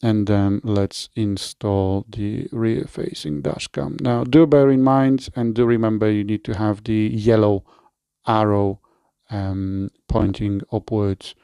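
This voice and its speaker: Man with a Polish accent, smooth voice. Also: lowish voice, takes it slowly, no echo, studio quality. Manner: relaxed, explanatory, with frequent fillers and self-corrections. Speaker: product reviewer. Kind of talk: product review and installation walkthrough.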